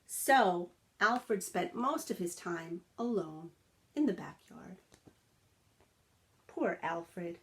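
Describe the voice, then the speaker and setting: Slow-paced woman reading a children's story. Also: on the low side, a little hiss or hum. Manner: expressive, sympathetic, explains simply to children. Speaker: teacher reading a picture book aloud. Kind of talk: children's story read-aloud with simple explanatory asides.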